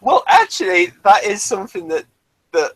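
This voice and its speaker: A man's voice: high voice, sing-song, normal speed, fairly bright tone, dry recording, rough recording. Man chatting through an episode commentary. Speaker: host of a TV episode review commentary. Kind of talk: casual chat, episode commentary.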